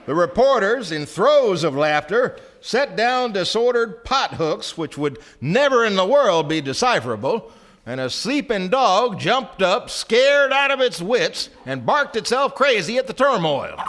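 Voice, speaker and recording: Theatrical storyteller, male actor, wry and literary, high voice with sweeping intonation. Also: a bit bright, full character voice. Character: wry male storyteller narrating a small-town satire. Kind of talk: theatrical literary narration for stage radio drama. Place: playhouse stage before a live audience.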